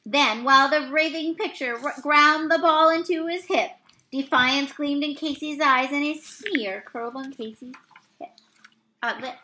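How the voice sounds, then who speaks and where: A woman in her thirties reading a poem aloud from a picture book, a little haltingly, stumbling and correcting herself. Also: high voice, pushed and bright, slight background noise, rough recording. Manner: halting, with misreadings and self-corrections. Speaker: read-aloud reader. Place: small indoor room.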